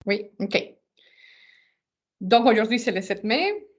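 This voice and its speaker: A woman's voice: fairly high pitch, very animated, slow, slightly rough, dull audio. A non-native French-speaking woman with a foreign accent presenting a webinar informally, searching for words and often repeating them. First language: not French.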